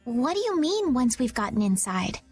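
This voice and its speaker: A woman playing a gentle, polite young noblewoman in a video game, earnest and anxiously questioning. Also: on the high side, sing-song, moderate pace, soft background music. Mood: worried. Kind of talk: video game cutscene voice acting.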